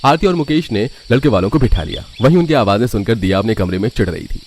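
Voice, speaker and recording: A man's voice: lowish voice, animated, quick pace, a little mellow, slight background noise. A man narrating a scripted cartoon family story.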